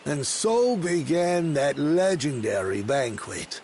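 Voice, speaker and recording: A man's voice: fairly high pitch, sing-song, darkish tone, a little hiss or hum, full character voice. An actor playing a solemn storyteller in a fantasy video game, speaking formally and theatrically as he recounts old legends. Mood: storytelling. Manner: formal, measured, theatrical delivery.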